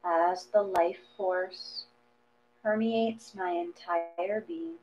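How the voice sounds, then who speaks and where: A woman leading a guided meditation, reading slowly and deliberately in short phrases. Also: fairly low pitch, steady tone. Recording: dull recording with hum.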